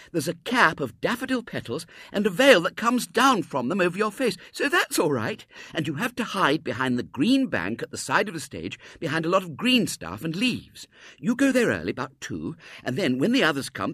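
A man's voice: high voice, a bit bright, no echo, clean studio sound, voice pushed up, exaggerated voice. A man voicing a homesick little girl in a storybook, earnest and pleading, with a plaintive manner. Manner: wailing, plaintive, breathless phrasing. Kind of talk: storybook character voice in narration.

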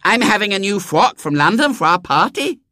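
A man's voice: sits high, big pitch swings, moderate pace, bright, forceful tone, no room sound, studio quality, pitched above the performer's own voice, full character voice. A man voicing an imperious, spoiled little girl who plays at royalty in a children's storybook, bossy, demanding and haughty. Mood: boastful, commanding.

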